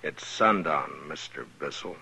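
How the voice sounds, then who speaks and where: An actor playing a stern, steady frontier marshal in a 1950s radio western, speaking in a grave, controlled way. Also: male voice, very deep voice, a little slow, vocal fry, breathy edge, exaggerated voice, rough recording. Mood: firm restraint. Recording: vintage radio recording with prominent music.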